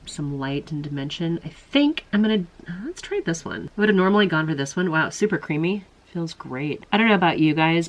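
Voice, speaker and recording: Low-voiced congested woman chatting makeup. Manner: chatty, rambling, self-questioning. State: congested from illness. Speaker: beauty vlogger. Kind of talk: casual makeup try-on chat.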